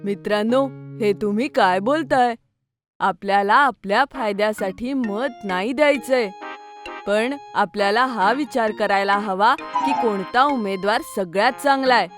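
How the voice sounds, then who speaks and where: A woman's voice: mid-range voice, measured pace, soft background music, close to an everyday voice. A woman playing a sensible, principled young schoolboy in a children's cartoon. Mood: earnest, firm. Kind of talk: Marathi children's cartoon dialogue.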